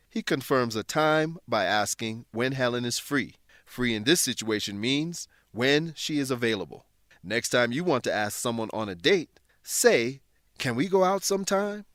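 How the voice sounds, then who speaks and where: A man with a mid-pitched voice presents a scripted English lesson. He explains terms in a deliberate, teacherly way. The recording is dry, with hardly any room sound. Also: a bit bright, no echo. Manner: instructional, deliberate word-by-word explanations. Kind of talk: scripted educational narration.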